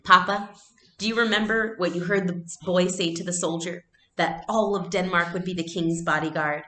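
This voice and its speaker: A woman's voice: fairly low pitch, fairly even intonation, moderate pace, a bit bright, echoey room, pitched above the performer's own voice, natural voice. A woman reading a storybook aloud, voicing an earnest, curious and worried young girl.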